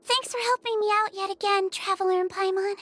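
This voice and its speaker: A woman voicing a small, gentle, girlish nurse creature in a video game, calm, caring, soft-spoken and earnest in measured sentences. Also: very high-pitched. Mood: calm, polite. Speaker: nurse and doctor.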